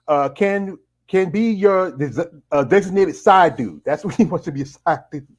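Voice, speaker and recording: Middle-aged male host, rambling, full of "you know" fillers, slightly higher voice. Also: animated, normal speed. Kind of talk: casual live-stream interview.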